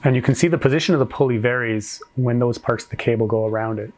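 A man in his forties hosting a hobby video, giving a scripted, clearly structured explanation in an upbeat way. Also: mid-range voice, fast talker, darkish tone. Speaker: host of a brick-building channel.

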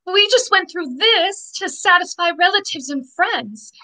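Very high-pitched, fearful young bride. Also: female voice, animated, unhurried, pushed and bright, full character voice, poor audio. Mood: frightened, plaintive. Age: young adult. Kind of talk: old-time radio mystery acting. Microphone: video call. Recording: faint music behind.

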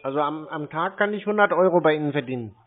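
A man, asking naive questions in a deadpan, casual way during a phone conversation. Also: on the high side, quick pace. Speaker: prank caller baiting phone scammers. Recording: tinny phone-like sound.

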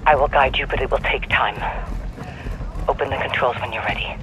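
An actor playing a controlled but urgent mission controller, giving soldiers precise instructions over the radio in a video game. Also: male voice, mid-range voice, fairly quick, muffled recording, noisy background, close to an everyday voice. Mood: pressing. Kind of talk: military video game acting.

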